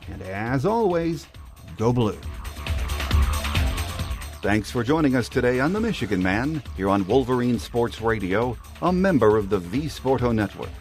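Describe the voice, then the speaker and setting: A male podcast host presenting a sports preview. He speaks at a middling pitch, in the manner of someone reading prepared show notes. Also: darkish tone. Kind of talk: scripted sports preview narration.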